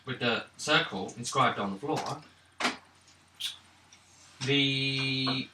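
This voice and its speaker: A man running a tabletop role-playing game as game master, narrating scenes haltingly with pauses as he describes them. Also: medium pitch. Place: indoor room around a gaming table. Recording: distant mic, echoey room, background noise.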